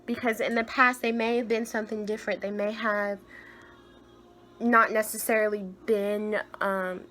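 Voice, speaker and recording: Chatty young woman with a higher voice. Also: little pitch movement, moderate pace, a little hiss or hum. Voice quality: higher-pitched voice. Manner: chatty and gushing, trails off with soft murmured asides. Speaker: tarot reader. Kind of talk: casual tarot reading.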